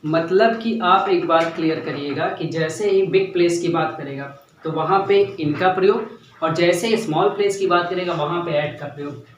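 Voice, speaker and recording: A man's voice: on the high side, normal speed, slight background noise. A young man teaching a grammar lesson, repeating key points and often checking whether students follow. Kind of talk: classroom-style grammar lesson. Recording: echoey room, mic at a distance.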